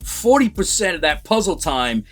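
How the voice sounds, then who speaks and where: A man with a fairly high voice chats casually as a film reviewer. His pitch swings widely as he gets animated and acts things out, with music faintly behind him. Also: moderate pace, breathy edge. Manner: animated, acts out imagined lines. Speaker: movie reviewer, co-host.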